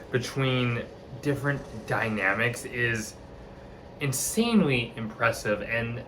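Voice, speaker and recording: A man's voice: mid-range voice, a bit bright, a little hiss or hum. A man reviewing a metal album, enthusiastic and searching for words with frequent restarts. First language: not Icelandic. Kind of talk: spoken music review.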